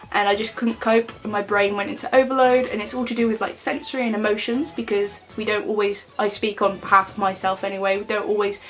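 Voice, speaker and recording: A woman's voice: on the high side, fairly bright tone. Nasal young woman vlogging with a cold. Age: 20s. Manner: chatty, plain-spoken, many fillers. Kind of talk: casual talk to camera.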